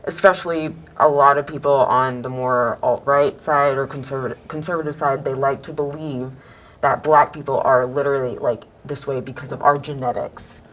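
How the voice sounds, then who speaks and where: A young woman with a very low voice makes her point in a panel discussion. She talks earnestly and fills her sentences with "like", and she gets higher when she is excited. Faint noise sits behind her. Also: normal speed, rough recording. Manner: earnest, many fillers, rises when excited.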